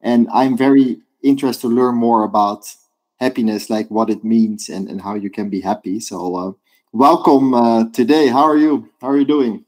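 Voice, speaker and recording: A man's voice: mid-range voice, fairly even intonation, moderate pace, a little mellow. A man hosting an interview podcast, welcoming his guest and asking curious questions with some restarts. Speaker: podcast host.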